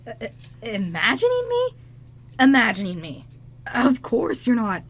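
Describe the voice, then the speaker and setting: An anxious young male friend in a radio play, acted by a woman. The voice sits fairly high and swings widely in pitch. The delivery is halting and stammering, full of confused pleading. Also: huge pitch swings, exaggerated voice. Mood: confused, relieved. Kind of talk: amateur radio drama script reading.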